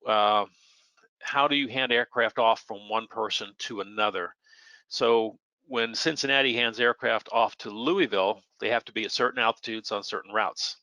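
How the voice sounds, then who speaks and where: An American man speaks as an expert controller taking questions, in a slightly low, clearly bright voice. The sound is dry and close, and he explains things in plain, conversational language. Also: normal speed, no room sound, poor audio. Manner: plainspoken, illustrates points with imagined radio exchanges. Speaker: air traffic controller answering questions.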